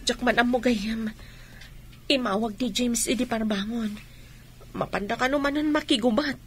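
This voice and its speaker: A woman's voice: fairly high pitch, moderate pace, a little hiss or hum, lightly acted. A woman playing an anxious, plain-spoken friend in an Ilocano radio drama.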